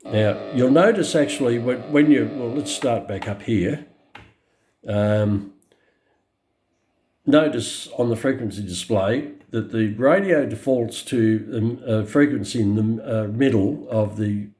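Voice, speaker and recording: An Australian man giving an unscripted hands-on product demonstration, explaining things in a halting, matter-of-fact way into a camera mic. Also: fairly low pitch, a little mellow, a little hiss or hum. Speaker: radio collector presenting a review.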